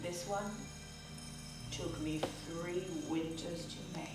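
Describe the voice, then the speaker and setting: A woman delivers dramatic story narration at an unhurried, deliberate pace. She sounds distant from the microphone in a very reverberant theater, with music playing behind her. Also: fairly low pitch, fairly even intonation. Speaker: reader in a staged ensemble reading. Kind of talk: dramatic literary story narration, live performance. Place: theater stage, live performance. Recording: echoey distant live theater recording with music. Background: music and sound design.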